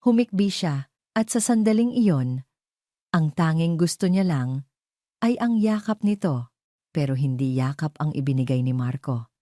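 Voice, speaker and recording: A woman reads a scripted romance story as its narrator. Her voice is very low and a little mellow, with dramatic delivery. The recording is dry and close. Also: animated, measured pace, pauses often, dry recording.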